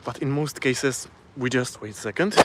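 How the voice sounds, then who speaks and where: A man's voice: medium pitch, normal speed, noisy background. Polish-accented man vlogging live. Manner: searching for words, frequent fillers and restarts. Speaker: self-improvement vlogger. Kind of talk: casual live-stream monologue. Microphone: phone.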